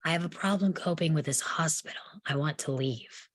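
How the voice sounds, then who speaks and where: A woman's voice: low-pitched, brisk. A woman playing a defiant, sardonic young psychiatric patient in a screenplay table read, with a guarded, dry, challenging delivery.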